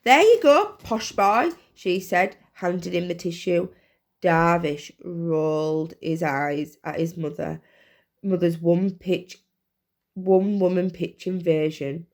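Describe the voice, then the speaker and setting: A woman's voice: on the low side, flat delivery, slow pace, a little mellow. A woman reading a children's storybook aloud as narrator. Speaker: reader, teacher.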